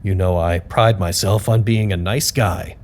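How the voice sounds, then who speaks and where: A male actor playing an amiable, nervously upbeat staffer who narrates his report in a wry, expressive, conversational way for an audio drama. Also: sits low, a little slow, background noise. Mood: exasperated. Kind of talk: scripted audio drama monologue, personal report.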